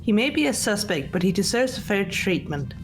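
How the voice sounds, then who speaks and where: A woman's voice: mid-range voice, very animated, brisk, lightly acted. A woman voicing a reserved, analytical teenage girl in a fan-voiced visual novel, speaking tersely and matter-of-factly. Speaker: voice actor in fan game playthrough. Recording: music under the voice.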